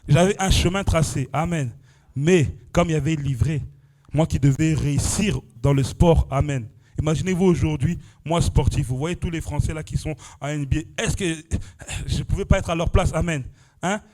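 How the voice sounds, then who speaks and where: A man's voice: fairly high pitch, moderate pace, a little mellow. A young man from France preaching a testimony at a church prayer vigil, exclaiming and punctuating his phrases with "amen" and "alléluia". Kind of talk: sermon testimony.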